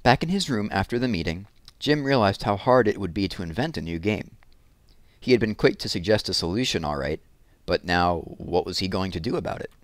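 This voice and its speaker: Male storyteller for children's read-aloud; lower-pitched voice, dry close sound. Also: no room sound.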